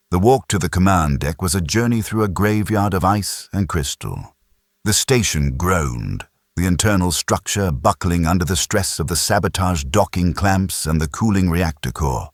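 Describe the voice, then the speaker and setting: An extremely deep male narrator reads dramatic science fiction with grave suspense. His husky voice moves expressively up and down, recorded close and dry. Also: dry recording. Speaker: audiobook narrator. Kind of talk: dramatic scripted sci-fi narration.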